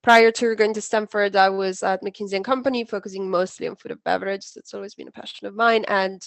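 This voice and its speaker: A woman gives a brisk, friendly introduction on an online panel. Her voice has a gravelly edge, and the call sound is dry and close. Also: mid-range voice, fairly even intonation, dry recording. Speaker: alumni panelist. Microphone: video call.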